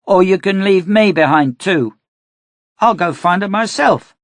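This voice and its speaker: A male narrator voicing an earnest, stubborn young girl in a storybook reading, pitched higher and pleading. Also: measured pace, no room sound. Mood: defiant.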